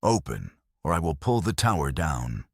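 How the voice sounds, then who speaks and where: Man voicing a giant brutal warlord, extremely deep. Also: very animated, moderate pace, gravelly, slightly rough, dry recording, clean studio sound, full character voice. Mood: menacing. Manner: roaring, blunt threats. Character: giant brutal male warlord, menacing and scornful.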